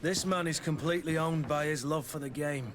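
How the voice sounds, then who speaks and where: A man's voice: a little high, brisk, a crowd in the background, natural voice. An actor playing a sceptical, loyal male companion in a video game, plain-spoken and questioning.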